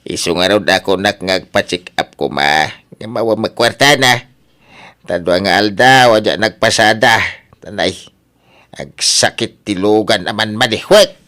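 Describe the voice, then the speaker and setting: A man's voice: fairly low pitch, a little slow, bright, forceful tone. A man acting as a wheedling, money-minded older father in an Ilocano radio drama, with an Ilocano accent. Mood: pushy, agitated.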